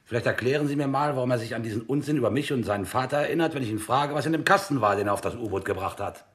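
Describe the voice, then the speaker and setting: A man's voice: mid-range voice, very fast, a bit bright, close to an everyday voice. An actor playing an impatient, domineering military captain in a radio drama, commanding and sarcastic, barking his demands. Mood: irritated, impatient.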